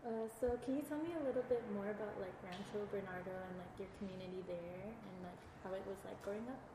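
A woman's voice: medium pitch, fast talker. A woman interviewer introducing a recorded conversation and putting prepared questions. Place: community center room. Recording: echoey room with clear background noise.

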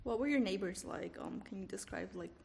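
A woman conducting an oral history interview, asking gentle questions that she often restarts with "um.". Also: mid-range voice, animated, brisk, vocal fry. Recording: reverberant room, faint noise.

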